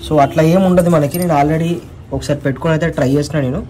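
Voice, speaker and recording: A man's voice: fairly high pitch, fairly even intonation, racing, dark tone. A man with a Telugu accent giving a casual step-by-step tutorial as a vlogger, mixing in English words. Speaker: vlogger presenting an installation guide. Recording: clearly noisy recording with ambient sound.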